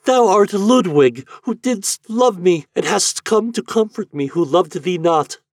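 A man voicing a grieving noble young woman in a storybook reading, in a high, emotional voice. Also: animated, unhurried, darkish tone, no room sound, clean studio sound, full character voice. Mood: astonished.

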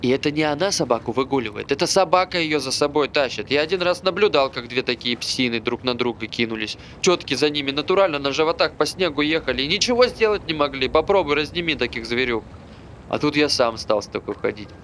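A man's voice: on the high side, lively intonation, fast, fairly bright tone, slight background noise. An actor playing a bitter, aggrieved man who hates dogs, grumbling conversationally in a naturalistic stage play. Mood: grumbling, irritated.

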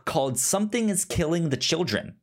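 A man co-hosting a podcast, talking casually about films, a bit halting and repeating his words. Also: on the high side, lively intonation. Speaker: podcast co-host.

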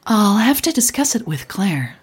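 A woman voicing a curious, wry female tech blogger in an audio drama, with a husky, breathy voice and a questioning manner. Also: mid-range voice, big pitch swings, normal speed, voice pushed up. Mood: surprised.

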